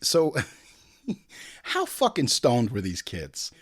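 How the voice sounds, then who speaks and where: Animated, irreverent man riffing on movies. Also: medium pitch, slow pace, breathy edge. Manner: irreverent, sweary, digressive, mimics people in asides. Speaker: host of a film commentary show. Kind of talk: comedic film commentary with acted-out bits.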